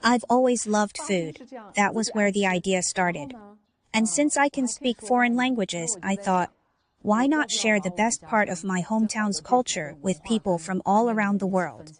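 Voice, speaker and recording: A woman's voice: medium pitch, a little slow. Earnest woman cooking teacher. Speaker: cooking class owner. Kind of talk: interview.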